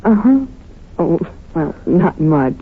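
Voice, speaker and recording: An actress playing an eager, playful young sweetheart in an old radio drama, curious and coyly affectionate. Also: female voice, fairly low pitch, sing-song, slow pace, breathy edge, full character voice. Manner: curious, coy, affectionate questioning. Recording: muffled, narrow vintage radio recording.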